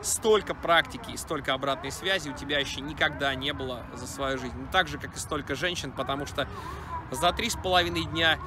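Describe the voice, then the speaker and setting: Pushy outdoor sales pitch from a man. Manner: brisk, persuasive, slangy address to viewer. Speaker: dating coach promoting his training. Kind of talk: direct-to-camera sales pitch. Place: outdoor park at dusk. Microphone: camera at close range. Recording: outdoor street noise behind.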